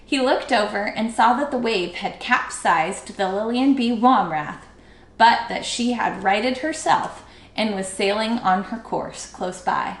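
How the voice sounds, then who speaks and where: A woman reading a children's book aloud expressively, with dramatic, drawn-out emphasis. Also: medium pitch. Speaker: storybook read-aloud teacher. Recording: echoey room.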